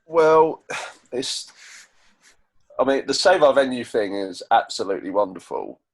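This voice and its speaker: A man's voice: medium pitch, lively intonation, a little slow. A young man, a musician being interviewed, chatting casually in a self-deprecating way. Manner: self-deprecating, laughs between phrases.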